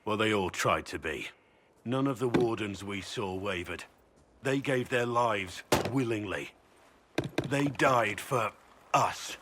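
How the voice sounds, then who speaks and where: An actor playing a solemn, devoted middle-aged warrior in a video game, speaking earnestly and gravely. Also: male voice, lowish voice, slow, noisy background.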